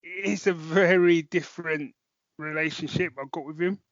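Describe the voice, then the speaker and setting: A man's voice: fairly high pitch, steady tone, moderate pace, no room sound, highs cut off. A man co-hosting a podcast, chatting casually about a review and thinking aloud as he goes. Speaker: podcast co-host.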